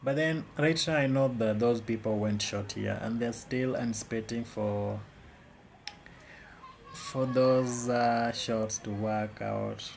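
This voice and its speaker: A man's voice: mid-range voice, steady tone, slow pace, creaky, a little hiss or hum. A man with a Kenyan accent giving casual live commentary on his trades, often repeating words and phrases. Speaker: day trader.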